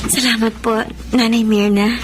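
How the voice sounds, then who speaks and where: A woman's voice: a little high, little pitch movement, normal speed, background noise, lightly acted. A woman playing a devoted, wounded wife, tender and earnest, in a Filipino radio drama. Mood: polite, hopeful. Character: devoted, wounded, long-suffering wife Grace.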